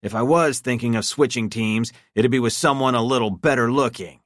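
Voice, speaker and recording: Man playing a smug, laughing boss type, raised voice, bright and lively; storybook reading. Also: medium pitch, brisk, no room sound, studio quality, pitched above the performer's own voice, lightly acted. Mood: amused, mocking. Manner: amused, dismissive, laughing. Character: smug older male cult leader, mocking.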